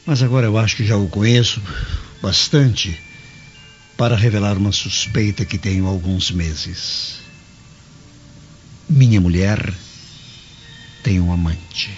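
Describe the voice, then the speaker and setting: Man acting a drunk old Roman, low voice, Brazilian accent, confiding, wronged, radio drama, music behind. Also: fairly even intonation, unhurried, pauses often, muffled recording, lightly acted. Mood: drunken, confiding.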